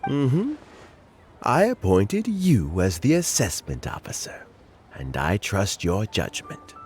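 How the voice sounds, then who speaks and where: An actor playing an elderly, kindly mentor official in a video game, speaking in a gentle, reflective and deliberate way. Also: male voice, on the low side, exaggerated voice. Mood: earnest, solemn. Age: older. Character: elderly kindly mentor official nearing retirement, fond of fishing. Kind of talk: video game dialogue acting.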